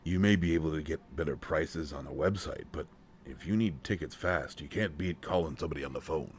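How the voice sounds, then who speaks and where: A man's voice: extremely low pitch, brisk, slight background noise. A man playing a nervous, protective, old-fashioned country man in an intimate roleplay audio drama, speaking in a hesitant, folksy way. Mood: folksy, determined.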